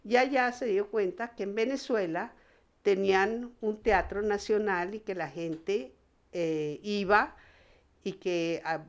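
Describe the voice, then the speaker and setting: A woman recounts theatre history conversationally as an interviewee, halting often and restarting her words. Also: mid-range voice.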